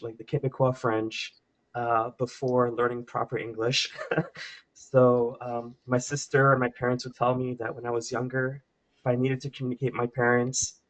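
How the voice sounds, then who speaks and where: A man's voice: mid-range voice, poor audio. A man from Trinidad and Tobago who grew up in Canada, an assistant professor giving a friendly, chatty self-introduction on a video call. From Trinidad and Tobago, raised in Canada. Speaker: assistant professor, webinar panelist.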